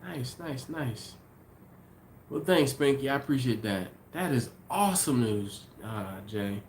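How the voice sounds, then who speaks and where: Congested man hosting a live chat, easygoing, mid pitch, slight background noise. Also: expressive, measured pace, darkish tone. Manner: easygoing, thinks aloud with many ums and restarts. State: congested, sniffly. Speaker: livestream host. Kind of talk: casual live chat reading viewer comments.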